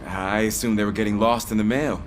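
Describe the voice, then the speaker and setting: A man's voice: fairly low pitch, fairly even intonation, fairly quick, slight background noise, lightly acted. An actor playing a young, deferential rookie policeman in a video game, polite, earnest and a little hesitant. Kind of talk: scripted video game acting.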